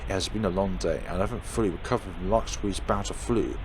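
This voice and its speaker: A man's voice: low voice, fast. A man reading horror visual novel narration aloud dramatically for a let's play, with noise in the recording.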